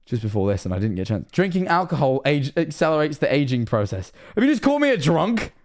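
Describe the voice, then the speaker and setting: A man's voice: fairly high pitch, sing-song, fast, a little mellow. A Scottish man of 21 streaming casually, rambling and self-mocking, swearing often.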